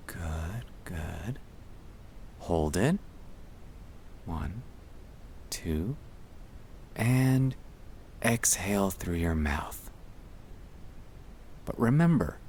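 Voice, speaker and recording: A man speaking softly and soothingly, often slipping toward a whisper, as he gently guides breathing in a sleep-aid ASMR style. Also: sits low, a little hiss or hum. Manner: soft-spoken, soothing, often dropping into whispers. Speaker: ASMR creator guiding breathing exercises.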